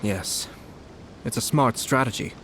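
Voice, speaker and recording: A man playing a courteous, shrewd young lord in a video game drama, with calm, measured, formal delivery. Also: medium pitch, a little hiss or hum, natural voice. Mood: analytical, wary. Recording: faint music under the voice.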